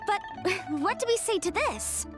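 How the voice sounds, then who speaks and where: A woman's voice: very high-pitched, animated, a little slow. A woman voicing a spirited young female contest host in a video game, announcing over music. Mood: puzzled, announcing. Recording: music behind the voice.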